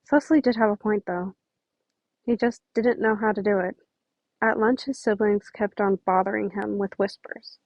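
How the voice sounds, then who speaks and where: A woman reads fiction aloud in an informal, amateur way, now and then tripping on a phrase and redoing it. Her pitch is average. The home recording is dry and slightly dull. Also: steady tone, normal speed, darkish tone, no room sound. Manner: stumbles and rereads phrases. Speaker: amateur podfic reader. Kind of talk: informal story narration.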